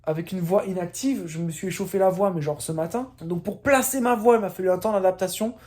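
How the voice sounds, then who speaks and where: A man's voice: high-pitched, fast, a little mellow. A young man from France telling the story of his trip in a casual, slangy voice-over full of asides and fillers. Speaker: vlogger narrating his trip. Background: faint music.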